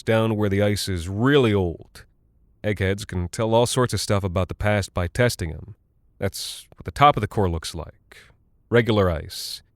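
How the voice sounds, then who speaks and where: An actor playing a weary, rattled Cold War pilot in a horror audio drama, halting and apologetic as he rambles confidingly to his wife. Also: lowish voice, no room sound, studio quality.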